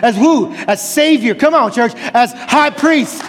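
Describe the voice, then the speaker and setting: A man preaching an impassioned evangelical sermon as a pastor, fervent and loudly exhorting, with emphatic repeated phrases. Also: very high voice, slow pace. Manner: loud, near-screaming exhortation. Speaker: pastor preaching to a church congregation. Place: large church hall, live preaching. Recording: large reverberant hall with music underneath. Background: music playing under the preaching.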